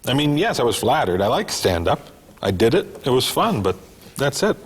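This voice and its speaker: An easygoing small-town gas station guy, wry and a little awkward. His voice swings loosely in pitch and has a husky grain, with hesitant sitcom timing. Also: very animated, normal speed. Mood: earnest, wry. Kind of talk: sitcom script table read.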